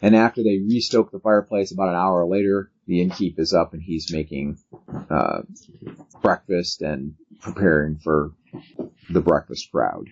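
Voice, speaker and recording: A man working as game master, narrating a tabletop role-playing game in a halting way, thinking aloud as he goes. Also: low-pitched, darkish tone. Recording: dull, lo-fi sound.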